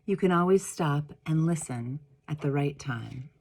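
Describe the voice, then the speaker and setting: Woman voicing wise old man, patient, slow, measured, gently instructive, storybook character. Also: low-pitched, animated, exaggerated voice. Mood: softly instructive.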